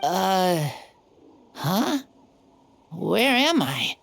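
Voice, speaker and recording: Confused sleepy kid from a game, creaky, super slow, timid, faint ambience behind. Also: female voice, lowish voice, very animated, slight background noise, exaggerated voice. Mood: dazed, confused. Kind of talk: video game character acting.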